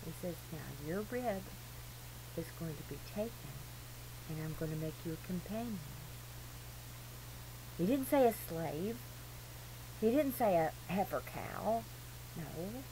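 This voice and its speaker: A woman's voice: fairly low pitch, expressive, measured pace, long pauses, dark tone. Earnest rambling woman on a noisy recording. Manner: earnest, emphatic, digressive. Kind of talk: rambling informal religious monologue. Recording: noisy amateur recording with clear hum.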